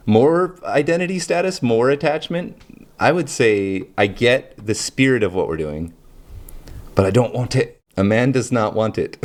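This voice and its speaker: A man with a very deep voice talks in a loose, searching monologue, often pausing on fillers and breaking into quick laughs. He hosts his own show and thinks out loud as he goes. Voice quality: low voice. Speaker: channel host. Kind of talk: casual reflective monologue.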